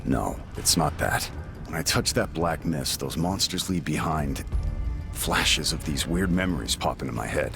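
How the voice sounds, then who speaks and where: An actor playing a gruff, brooding, blunt warrior man in a video game, terse and sardonic. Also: very deep voice, lively intonation, a little slow, music in the background, full character voice. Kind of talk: video game cutscene acting.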